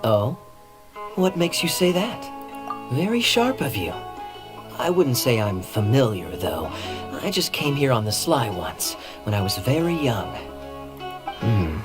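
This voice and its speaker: A man playing a suave, sly young knight captain in a video game, teasing and evasive, with smooth theatrical irony. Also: mid-range voice, very animated, a little slow, pauses often. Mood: wry, reminiscing. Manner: smooth, theatrical, playfully ironic. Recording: soft music behind the voice.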